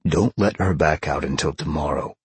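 Guy playing a growly lion shifter in an audiobook, low gravelly voice, blunt and impatient. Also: normal speed, heavily acted. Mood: grumbling. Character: gruff, impatient male lion shapeshifter. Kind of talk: audiobook character acting.

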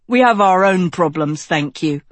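A woman's voice: lowish voice, animated, a little slow, no echo. Young king, read by a woman: proud, blunt, defensive, scornful; fantasy audiobook, dry studio sound. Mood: dismissive, irritated.

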